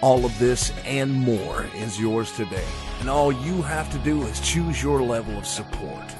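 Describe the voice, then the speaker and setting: A male announcer with a mid-range voice delivers a scripted promotional outro. Music plays clearly underneath him. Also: medium pitch, a little slow. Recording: clear music bed behind voice.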